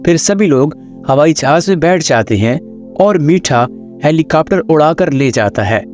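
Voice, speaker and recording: Male storyteller, a little high in pitch, scripted children's cartoon narration over light music. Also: expressive, normal speed, a little mellow.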